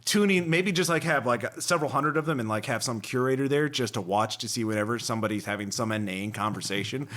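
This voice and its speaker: A man hosts a casual podcast conversation in an average-pitched voice. He rambles from thought to thought. His delivery is informal and jokey. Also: quick pace, hardly any pauses, a bit bright. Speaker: podcast host. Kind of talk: casual chat.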